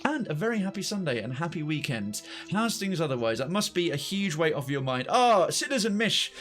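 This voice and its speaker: A man's voice: fairly high pitch, big pitch swings, a little fast, fairly bright tone, soft background music. Chatty man hosting a game livestream. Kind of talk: casual livestream chat to viewers.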